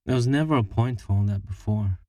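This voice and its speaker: A man's voice: on the low side, fairly quick, darkish tone, dry recording, distant mic, close to an everyday voice. A man voicing a weary, hurt adult man who talks in a hesitant, pleading way.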